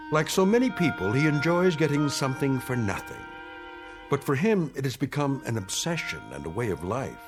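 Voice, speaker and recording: An actor playing a solemn, knowing narrator of eerie tales, delivering measured, wry scripted narration. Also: male voice, medium pitch.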